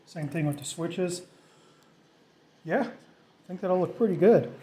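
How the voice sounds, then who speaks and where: Man, mellow relaxed tone, pitched a little high, casual how-to narration, unscripted, informal. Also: slow. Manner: informal, thinking aloud. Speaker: DIY vlogger.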